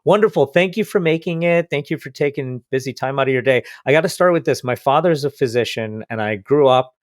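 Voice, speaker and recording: A man's voice: mid-range voice, a little fast, no echo, studio quality. A man hosting a podcast interview, talking in an enthusiastic, effusive way. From India. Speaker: podcast host.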